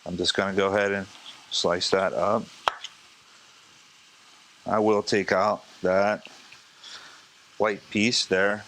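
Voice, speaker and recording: A man, a chef, teaching a live cooking demonstration in a casual, chatty way, slightly hoarse as he is losing his voice. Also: fairly low pitch, level tone, slow pace, pauses often, a bit bright, slight background noise, poor audio. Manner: casual, chatty, talks to the class.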